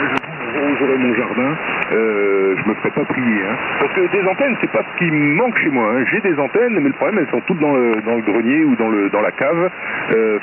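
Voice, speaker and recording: A man from France chatting casually over amateur radio, hesitant and enthusiastic. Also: mid-range voice. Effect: radio transmission. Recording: very noisy, hissy narrowband ham radio signal. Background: loud radio hiss.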